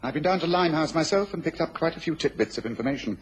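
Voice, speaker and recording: An actor playing a keen, self-assured Victorian gentleman detective in a radio drama, brisk and emphatic, with a British accent and a husky voice. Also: on the high side, animated. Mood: firm, certain.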